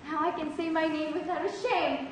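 High-pitched rhyming schoolgirl young woman acting, very echoey. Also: fairly even intonation, a little fast. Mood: proud. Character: hurt, proud schoolgirl who speaks in rhyme. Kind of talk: amateur school stage play, rhyming dialogue. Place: school theatre stage. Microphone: distant camera microphone. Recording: echoey theatre stage, far off, noisy.

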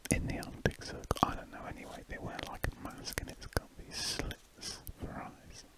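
A man with a British accent whispers breathily and slowly in an unscripted, hesitant ASMR ramble as he works at a desk, close to the microphone. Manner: slow, hesitant, trailing musings. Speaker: host of a drawing ASMR channel. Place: indoors at a desk.

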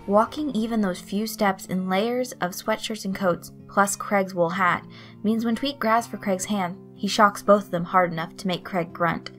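A woman reading fan fiction aloud in an expressive storytelling style. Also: medium pitch, moderate pace. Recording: soft music behind the voice. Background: guitar loop, night insect sounds.

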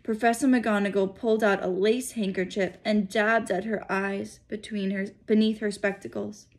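A woman in her thirties narrating a storybook aloud for children in an expressive way, indoors at arm's length from the microphone. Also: medium pitch, normal speed. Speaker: school principal reading aloud. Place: small home room, indoors. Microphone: phone or tablet at arm's length.

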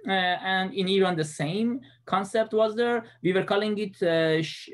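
A man's voice: sits high, measured pace. A man in his thirties with a Persian accent, giving a recorded class presentation from a small room into a webcam, with many fillers and restarts. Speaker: student presenter.

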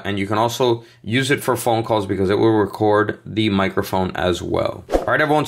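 A man's voice: mid-range voice, fairly bright tone, a little hiss or hum. A man hosting a tech tutorial, explaining things step by step in a casual way.

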